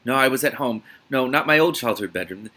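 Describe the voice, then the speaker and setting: A man reading a novel aloud as host of a reaction series, in a dramatic, expressive manner. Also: mid-range voice, fairly quick.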